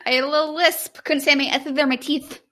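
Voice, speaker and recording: A woman co-hosting a podcast in casual chat, chiming in with quick, sweary comments. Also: high-pitched, steady tone, heavy background noise. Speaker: podcast co-host.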